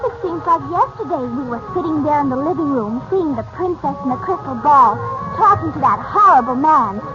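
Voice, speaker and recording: A woman's voice: high voice, animated, a little fast. An actress with an American accent playing an eager, girlish young daughter in a 1940s radio serial. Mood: wondering, wistful. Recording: muffled 1940s radio recording, loud music.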